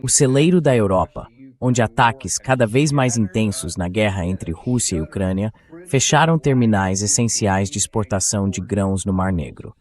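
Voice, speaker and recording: A man with a fairly low voice speaks as a dubbed interview host. His political commentary is conversational and wordy, laid out in long explanatory questions. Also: moderate pace, darkish tone. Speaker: translated voice-over of interview host.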